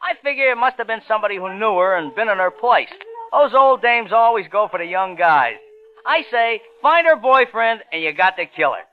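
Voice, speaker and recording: An actor playing a gossipy, cheeky young elevator operator with slangy chatty talk, in old-time radio drama style. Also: male voice, high voice, expressive, moderate pace. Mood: nosy, cheeky. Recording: tinny old radio recording with music.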